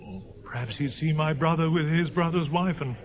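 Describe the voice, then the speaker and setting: A man's voice: on the high side, moderate pace, muffled recording, natural voice. An actor playing an earnest, anxious village clergyman in a British radio drama, speaking formally and insistently. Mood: urgently insistent.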